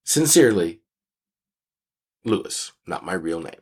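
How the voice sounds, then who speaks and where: A man with a somewhat low, raspy voice co-hosts a casual podcast. His pitch swings widely as he speaks, with a wry, emphatic and measured delivery. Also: sing-song. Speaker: podcast co-host. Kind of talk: casual podcast chat and reading of a listener letter.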